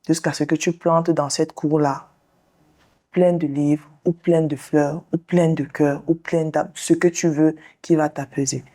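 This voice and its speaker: Low-voiced woman guiding a calm exercise. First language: English. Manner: slow, soothing, directive guidance. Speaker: sex therapist and show host. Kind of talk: guided visualization and counseling talk.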